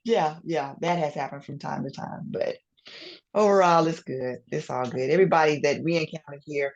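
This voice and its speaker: A woman in her thirties with a Southern US twang and a low, deep voice. She chats easily from a couch at home, laughing often and trailing off softly. The call audio sounds muffled and dull. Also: normal speed. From Atlanta, Georgia. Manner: chatty, laughs often, drops into a soft low voice. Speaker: guest. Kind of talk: casual video-call interview. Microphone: video call.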